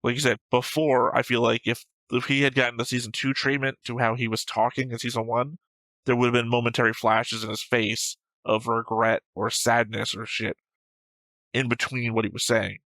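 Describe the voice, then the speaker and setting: Bright-voiced man in opinionated casual discussion. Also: medium pitch, little pitch movement, moderate pace, no room sound, poor audio. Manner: argumentative, emphatic, swears casually. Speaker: co-host of an anime reaction show.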